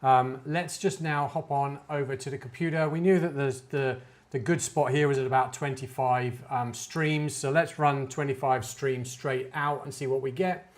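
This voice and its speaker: A man's voice: mid-range voice, steady tone, moderate pace. A man giving an informal, hands-on tech demonstration, talking it through hesitantly as he works. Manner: hesitant, thinking aloud while working. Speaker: tech reviewer demonstrating network gear.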